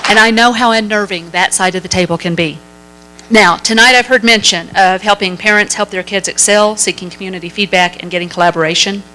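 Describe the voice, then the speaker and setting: A woman from the audience asking the candidates a question, loud and friendly. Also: medium pitch, steady tone, a little fast, background noise.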